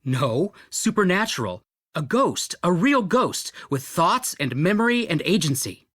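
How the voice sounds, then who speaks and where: A woman's voice: on the low side, sing-song, measured pace, a bit bright, slightly rough, no echo, studio quality, full character voice. A woman playing an eager, quirky young ghost hunter in a video game, with an enthusiastic, salesy manner. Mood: excited.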